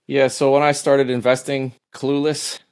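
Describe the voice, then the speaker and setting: A Canadian man in his fifties, a podcast guest answering in a relaxed, hesitant way with many "uh"s. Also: medium pitch, unhurried, clear, resonant tone. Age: 50s. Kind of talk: casual interview answers.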